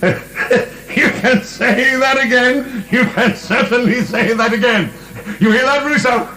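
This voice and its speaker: An actor in a radio drama playing an imprisoned marquis, a defiant, contemptuous and morbid philosopher, theatrical and sneering. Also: male voice, very high-pitched, slow pace, pushed and bright, exaggerated voice. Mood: scornful, gleeful. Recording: hissy archived radio drama.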